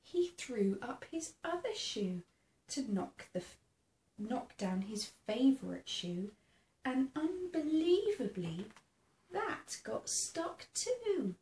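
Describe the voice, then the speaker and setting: Animated, high-voiced woman reading a picture book. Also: takes it slowly. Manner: theatrical, with gasps and exclamations for young listeners; asks the listening child questions. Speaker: storybook reader. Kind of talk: expressive read-aloud of a children's picture book. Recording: roomy sound with faint background noise.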